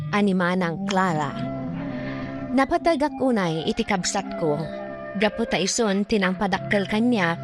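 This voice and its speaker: A woman giving a scripted first-person narration as the narrator of a radio drama. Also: mid-range voice. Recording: music bed under the voice. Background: clear music.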